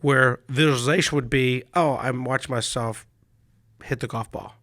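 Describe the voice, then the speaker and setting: A male coach with a hoarse voice from a cold, telling stories in a relaxed chat with frequent restarts. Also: mid-range voice, moderate pace, dry recording, studio quality. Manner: relaxed storytelling with many restarts. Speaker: mental management coach. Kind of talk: casual podcast chat.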